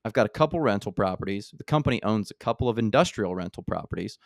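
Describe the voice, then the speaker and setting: A man in his thirties with a fairly low voice talks loosely and thinks out loud, filling his sentences with "like." The sound is dry and close, with no room around it. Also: animated, quick pace, vocal fry, no room sound. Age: 30s. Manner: chatty, thinks aloud with many "like"s. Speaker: podcast host. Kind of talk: casual interview chat.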